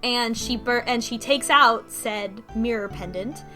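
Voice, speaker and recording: A woman co-hosting a podcast, casually retelling a story in halting bursts with false starts and some swearing. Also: fairly high pitch, bright, forceful tone, faint music behind. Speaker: podcast co-host recapping a story. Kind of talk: casual conversational plot recap.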